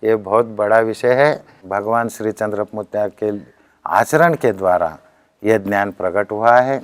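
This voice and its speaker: A man's voice: lowish voice, measured pace, dry recording. A man with a Deccan Hindi accent giving a spiritual discourse as a teacher, telling accounts earnestly and quoting speech as he goes.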